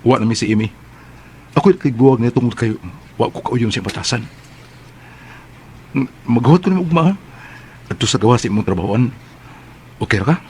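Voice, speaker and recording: A man's voice: medium pitch, fairly quick, pauses often, darkish tone, a little hiss or hum, close to an everyday voice. An actor playing a young man in a radio drama who stammers and hesitates while asking a woman out. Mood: nervous, earnest. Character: young man, ex-boyfriend, awkward suitor.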